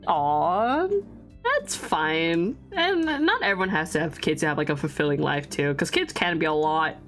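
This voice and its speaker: Young woman chatting on stream while drawing, really animated, rambly and giggly, faint music in the back. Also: low voice, normal speed. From Vietnamese family, born outside Vietnam. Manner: chatty and giggly, lots of restarts and fillers.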